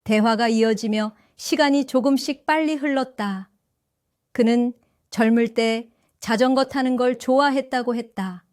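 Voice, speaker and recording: An older woman tells her story in a calm, reflective first-person narration. Her voice sits fairly high, and the recording is close and completely dry. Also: a little slow, no echo. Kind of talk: scripted first-person audio drama narration.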